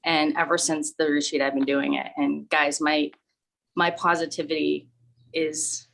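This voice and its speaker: A woman giving a casual, rambling talk, speaking in a flat monotone and using lots of fillers. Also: deep voice, a little slow, gravelly, rough recording. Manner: self-described monotone, relaxed and rambling with many fillers. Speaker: guest speaker. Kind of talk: casual talk on a live call.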